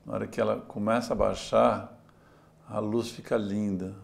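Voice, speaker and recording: A man's voice: fairly low pitch, level tone, normal speed, creaky. A man from Santos, Brazil, a sailor talking in an interview in a reflective, storytelling way. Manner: reflective, tells stories with small laughs and "né?". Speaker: sailor and adventurer. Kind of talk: relaxed interview talk.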